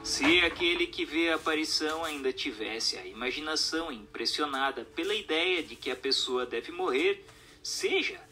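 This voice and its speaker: Male Brazilian narrator for didactic scripted reading; crisp, bright tone with lively intonation. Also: a little high. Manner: formal, didactic, expository. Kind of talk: scripted narration, reading a spiritist text aloud.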